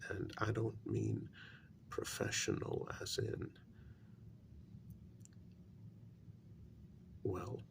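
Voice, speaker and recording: Man playing grave paranormal consultant, ASMR role-play, soft and breathy, heavy vocal fry, halting blunt delivery, noisy recording with clicks. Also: lowish voice, full character voice. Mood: resigned, blunt. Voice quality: breathy, gravelly soft voice dropping near whisper. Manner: slow, halting, deliberate delivery with long pauses.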